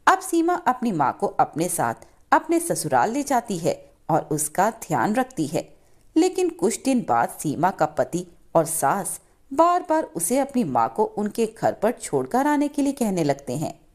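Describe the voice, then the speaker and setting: A woman narrates a scripted moral tale. Her pitch is middling but moves up and down a great deal, giving the storytelling a lively melody. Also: measured pace.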